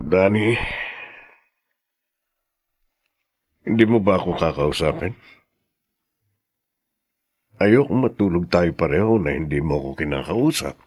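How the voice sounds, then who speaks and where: An actor playing a calm, reassuring widowed father in a radio drama, soothing and patient, with a Filipino accent. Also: sits low, normal speed, long pauses, slightly rough. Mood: pleading, uneasy.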